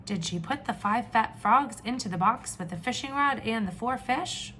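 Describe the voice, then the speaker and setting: A woman teacher reading aloud to young children, with expressive questions and drawn-out emphasis. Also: a little high, a little hiss or hum. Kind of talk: children's read-aloud lesson.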